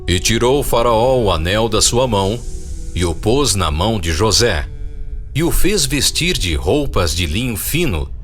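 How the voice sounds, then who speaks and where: A man's voice: lowish voice, sing-song, a little slow, fairly bright tone, soft background music. A man narrating a dramatized audio Bible in a scripted storytelling style.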